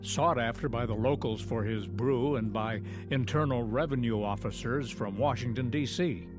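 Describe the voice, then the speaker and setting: A man's voice: mid-range voice, normal speed. An American man giving scripted, formal narration as the announcer of a radio drama. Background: music.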